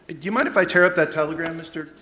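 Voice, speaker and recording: An actor playing a calm, mysterious young intern doctor in a radio drama, speaking in a solemn, formal way. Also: male voice, a little high, racing, lightly acted. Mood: calm, knowing. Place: live stage performance in a hall. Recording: roomy echoey live hall, noisy, clicks.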